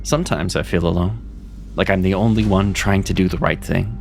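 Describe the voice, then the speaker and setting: Fantasy audio drama mentor mage, male, played by a man: very deep, rough creak, calm earnest reassurance. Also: a little fast. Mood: earnest, reassuring. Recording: music and rain behind the voice. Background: music, rain.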